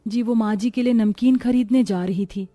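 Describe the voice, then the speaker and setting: A woman's voice: fairly high pitch, steady tone, moderate pace, close to an everyday voice. A woman as a meek young daughter-in-law. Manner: soft-spoken, deferential, patient. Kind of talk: acted Hindi cartoon story dialogue.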